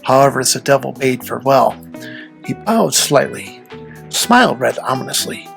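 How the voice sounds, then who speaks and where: A storyteller narrates a scripted folktale in a creaky voice of medium pitch. Faint music runs underneath the reading. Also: animated, normal speed, a bit bright. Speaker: podcast host and storyteller.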